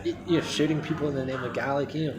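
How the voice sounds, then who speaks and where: A man's voice: on the high side, rapid-fire, over a noisy crowd, rough recording. A Scottish man, an anthropologist, talking in a casual, rambling conversation full of fillers. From Scotland. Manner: rambling, many fillers, animated.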